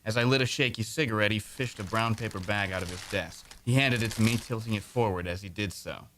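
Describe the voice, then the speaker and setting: A young, nervous male reporter, played by a man, narrates his own strange story in an old-time radio drama. His voice is fairly low but bright and forceful. A faint hiss sits behind him. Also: fairly quick, natural voice. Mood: tense. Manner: tense, earnest first-person narration.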